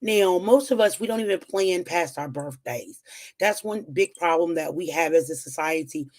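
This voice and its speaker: A woman hosting a live whiteboard lesson on finances, her voice rough and strained as it comes back after being lost. She talks in a relaxed, chatty way, pausing on "um"s and nudging viewers along with upbeat encouragement. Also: on the low side. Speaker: financial educator hosting a weekly livestream. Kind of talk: casual live-stream teaching at a whiteboard.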